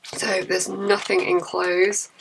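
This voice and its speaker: A young woman talks casually to camera, her voice gravelly and catching from a cold. She rambles in a chatty, self-correcting way. A faint hiss sits under the recording. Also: medium pitch, level tone, takes it slowly, poor audio. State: croaky with a cold. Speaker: budgeting vlogger.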